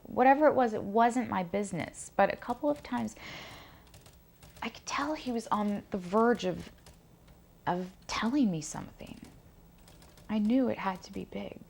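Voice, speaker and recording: A woman's voice: medium pitch, moderate pace, vocal fry, pauses often, a little mellow, natural voice. A young woman playing a shy, hesitant daughter giving interview-style testimony, often correcting herself, in a dramatised documentary. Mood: uneasy recollection. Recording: faint background noise.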